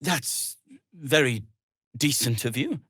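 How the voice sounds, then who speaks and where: A man playing a fussy, wry, anxious deep gnome tinkerer in a video game, speaking in wordy, formal, hesitant phrases with dry sarcasm. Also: animated, takes it slowly, a bit bright, breathy edge, no echo, full character voice. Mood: dryly amused. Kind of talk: game dialogue acting.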